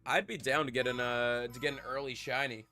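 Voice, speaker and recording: A man's voice: mid-range voice, fairly even intonation, brisk, sharp tone, faint music behind. A young man with a congested voice, chatting casually with his live-stream viewers and rambling with repeats. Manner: rambling, repeats himself, talks to chat. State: congested from a cold.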